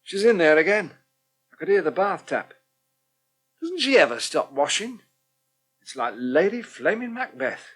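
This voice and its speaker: An actor playing a disgruntled, sarcastic English husband in a radio soap, grumbling flippantly. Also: male voice, high-pitched, very animated, measured pace, pauses often, fairly bright tone, slightly rough, exaggerated voice. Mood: sarcastic, exasperated. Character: disgruntled, sarcastic husband fed up with crowded house. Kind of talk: British radio soap acting.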